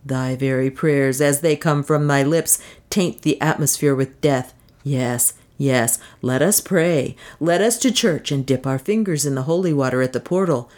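A woman's voice: extremely low pitch, full character voice. A woman reading a story, voicing a bitter, enraged young man who denounces his lover in archaic, venomous speech. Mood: fiendish scorn. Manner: venomous, drawn-out archaic denunciation. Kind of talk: dramatic audiobook storytelling.

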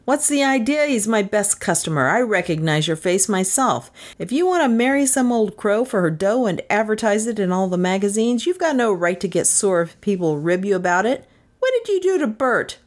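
A woman's voice: lowish voice, big pitch swings, extremely slow, hardly any pauses, fairly bright tone, full character voice. A woman voicing an indignant male bartender in a crime story, blunt, slangy and scolding. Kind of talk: storybook character voice in crime fiction.

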